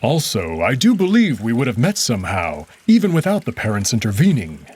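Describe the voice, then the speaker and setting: A man voicing a gentle, devoted male wolf nobleman who speaks softly and formally. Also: mid-range voice, huge pitch swings, brisk, slightly rough. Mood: tender. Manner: soft-spoken, caring, formal.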